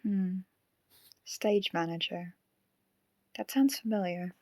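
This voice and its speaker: A woman's voice: on the low side, slow pace, warm tone, no room sound, clean studio sound. A woman acting a wry, amused audience member who banters dryly and casually in an audio drama.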